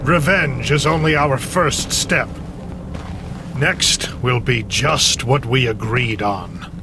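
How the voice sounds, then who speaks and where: An actor voicing a coldly calculating artificial intelligence in a video game, measured, solemn and condescending. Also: male voice, medium pitch, noisy background. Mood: triumphant, then grandiose. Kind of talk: dramatic video game cutscene acting. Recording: loud music under the voice.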